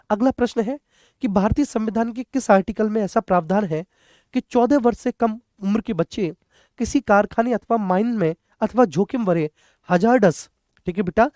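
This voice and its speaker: A man's voice: high-pitched, mellow, no room sound, studio quality. A man teaching an exam prep lesson, addressing students directly, repeating key points and mixing in English terms. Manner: repetitive, instructive, addressing students directly with check-in phrases. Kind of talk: question-and-answer exam lesson.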